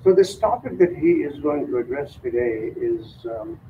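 An older man hosting, introducing a guest speaker in a halting way with many fillers. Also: fairly high pitch, huge pitch swings, a little hiss or hum. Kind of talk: spoken introduction. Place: mosque lecture room. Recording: tinny lo-fi sound.

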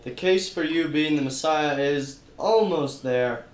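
A man's voice: a little high, measured pace, roomy sound. A man narrating and acting as a wisecracking detective in a church radio play. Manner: wisecracking, hard-boiled narration.

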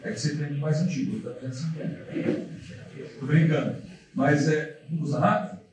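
A man's voice: a little high, normal speed, noisy background. A man giving a casual seminar talk to an audience in a large hall, repeating himself with many fillers. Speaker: lecturer. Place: large dark lecture hall. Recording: echoey hall from far off, audience.

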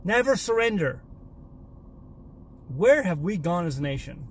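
Casting: man in his thirties, homestead vlog host, fairly high voice, emphatic and repeating himself for emphasis, selfie from a parked car with faint background hum. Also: sing-song, measured pace. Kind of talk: casual selfie vlog rant. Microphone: phone or camera at arm's length.

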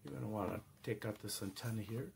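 A man's voice: lowish voice, fast, vocal fry, darkish tone, a little hiss or hum. A man casually showing off a radio at home, thinking aloud with small hesitations. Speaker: product reviewer. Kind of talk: casual product demo.

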